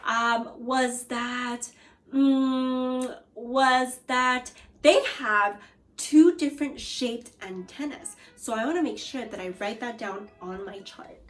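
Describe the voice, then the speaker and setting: A woman with a fairly high voice speaks very slowly, as an elementary teacher does in a read-aloud lesson. She addresses young pupils directly in an encouraging, instructive way, moving between reading and questions. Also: faint music behind.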